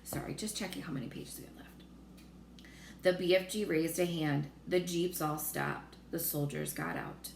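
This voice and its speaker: A woman reading a children's story aloud in an expressive narrating style. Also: deep voice, moderate pace, creaky. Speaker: storybook reader. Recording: roomy echo with faint noise.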